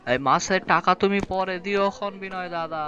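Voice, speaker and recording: A man's voice: high voice, steady tone, a little fast, crowd noise, lightly acted. A man voicing a humble, hesitant village boatman in a cartoon folk tale. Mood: chatty, coaxing. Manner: colloquial, folksy, hesitant, repeating words. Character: humble boatman turned fisherman, wheedling, henpecked husband. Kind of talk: animated folk tale voice acting. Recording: dull lo-fi sound with music behind.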